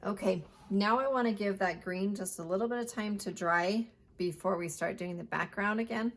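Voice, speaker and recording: A woman art teacher giving a chatty step-by-step painting lesson, her voice hoarse. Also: mid-range voice, normal speed. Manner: chatty, plain instructional talk.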